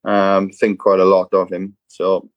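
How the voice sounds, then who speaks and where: A man speaking as a guest in a casual chat, terse and halting. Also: deep voice, completely flat delivery, no room sound, highs cut off. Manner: terse, halting with many ums.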